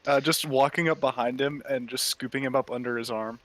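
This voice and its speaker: A man's voice: mid-range voice, fairly even intonation, a little fast, a bit bright, dry recording, poor audio. A man casually describing his character's actions as a player in a tabletop roleplay game.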